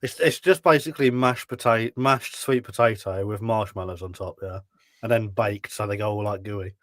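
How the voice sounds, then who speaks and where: A man's voice: fairly low pitch, moderate pace, a bit bright, no room sound, clean studio sound. A man, a guest on a live stream, throaty from a cold and bantering in a laid-back, joking way. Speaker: podcast guest. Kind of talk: casual chat.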